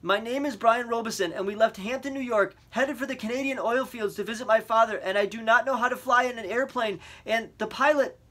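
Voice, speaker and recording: A man's voice: very high voice, a little fast, hardly any pauses, sharp tone, pitched above the performer's own voice, full character voice. A man reading an audiobook, voicing a terrified teenage boy who stammers, pleads and nearly cries over a radio. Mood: panicked. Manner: panicked, stammering, pleading, on the edge of crying. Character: terrified teenage boy alone in a small plane. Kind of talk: audiobook character voice.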